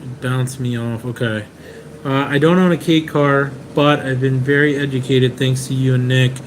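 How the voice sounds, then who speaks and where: A man's voice: medium pitch, normal speed, low-quality recording. Casual man with AC hum behind. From Venezuela. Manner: relaxed, chatty, many "um"s and restarts. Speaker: car importer hosting a live Q&A. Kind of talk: casual live-stream Q&A, reading viewer comments. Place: indoors in a rented apartment. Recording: clear room noise and AC hum.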